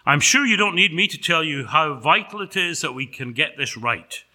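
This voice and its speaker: A man's voice: fairly high pitch, animated, moderate pace, pushed and bright, voice pushed up, full character voice. A man voicing an eager, flustered angel who pleads like a starstruck defense counsel in a sermon sketch.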